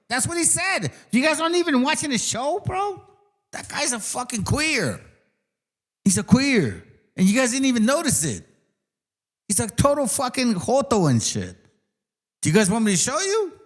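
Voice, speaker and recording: A man on a foul-mouthed tirade, higher-pitched than most men. His pitch leaps around wildly as he repeats insults and jabs. His delivery swings from shouted outbursts to low mutters. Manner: aggressive, profane, repetitive, swings loud and soft. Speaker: ranting commentator. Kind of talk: profane rant.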